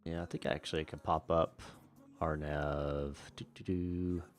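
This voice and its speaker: A man acting as a virtual air traffic controller, switching between radio phraseology and casual, relaxed chat to a stream. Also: extremely low pitch, unhurried, soft background music.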